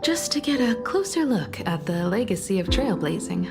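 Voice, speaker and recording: An actress voicing a composed, reserved young adult daughter in a video game, calm, measured and polite. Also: female voice, medium pitch, vocal fry, over music. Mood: gracious. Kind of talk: restrained video game voice acting.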